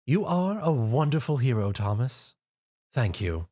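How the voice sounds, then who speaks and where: A man's voice: mid-range voice, very animated, mellow, dry recording, clean studio sound. A man voicing an elegant, theatrical female unicorn pony with a refined, teasing manner. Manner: refined and teasing, drawn-out endearments.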